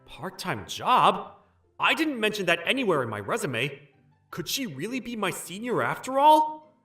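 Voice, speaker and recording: A man acting an earnest, put-upon young office worker who narrates and voices his troubles in a manga dub, with expressive delivery. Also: high voice, normal speed, faint music behind, exaggerated voice. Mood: puzzled.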